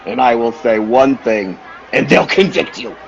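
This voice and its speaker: Man acting an anguished, gravelly little circus man. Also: medium pitch, lively intonation, a little slow, muffled recording, slight background noise. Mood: accusing. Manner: melodramatic, insistent. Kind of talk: radio play acting.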